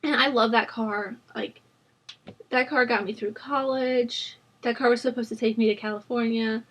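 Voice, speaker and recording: A young woman in her twenties, hoarse from a sore throat, chatting casually and rambling with plenty of ums and likes while she narrates a building game. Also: on the high side, steady tone, normal speed. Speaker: Sims building channel host. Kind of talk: casual voice-over chat during a speed build.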